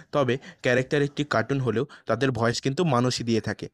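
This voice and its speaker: A man's voice: medium pitch, no echo. A man reading scripted narration for an online video.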